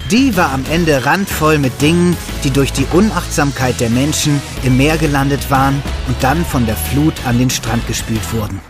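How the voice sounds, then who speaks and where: A friendly builder played by a male actor tells his story to children in a mid-range voice. It is audio drama narration, with music clearly running underneath. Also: mid-range voice, moderate pace, hardly any pauses, lightly acted. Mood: storytelling. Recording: music behind the voice.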